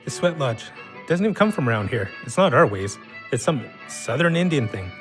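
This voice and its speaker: A man's voice: medium pitch, big pitch swings, fairly quick, faint music behind, natural voice. A man playing a cynical, foul-mouthed drinker, blunt and mocking, in a naturalistic audio drama. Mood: scornful. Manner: sarcastic, blunt, gruffly dismissive.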